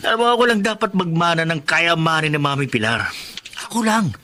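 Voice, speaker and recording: Cocky Filipino schemer, radio drama; higher-set, highly animated voice, noisy ambience. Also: male voice, a little fast. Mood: smug, greedy. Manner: boastful, smug. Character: scheming, cocky adult son and boyfriend, greedy heir.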